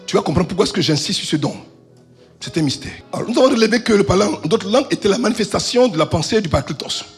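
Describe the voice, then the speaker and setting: High-voiced preacher shouting and chanting. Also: male voice, expressive, fast, soft background music. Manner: preaching with sung, shouted crescendos then dropping low; asks the congregation questions and answers them himself. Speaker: pastor. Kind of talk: fiery sermon. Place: church service.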